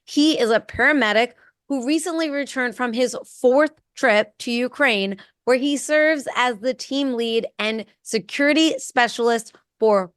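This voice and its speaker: A woman's voice: fairly high pitch, a bit bright, no room sound, studio quality. A woman hosting a podcast, reading a guest introduction and then asking questions with an eager, filler-laden manner. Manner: enthusiastic, many um and uh fillers when asking. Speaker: podcast host. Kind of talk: scripted intro then casual chat.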